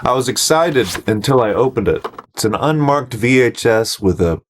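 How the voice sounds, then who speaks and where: A man's voice: mid-range voice, animated, normal speed, slight background noise. A man narrating a casual home-recording vlog as host, in a wry, self-deprecating way.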